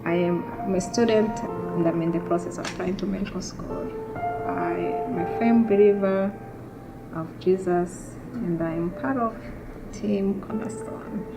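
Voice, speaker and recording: A young woman from Rwanda telling her life story in an interview, soft-spoken and halting, often restarting her sentences. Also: mid-range voice, poor audio. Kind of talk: personal testimony interview. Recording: music bed underneath.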